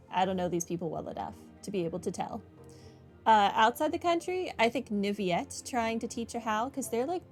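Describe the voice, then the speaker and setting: Woman, high-ish voice, casual gaming commentary, wry, thinking aloud, soft game music behind. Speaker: gaming streamer.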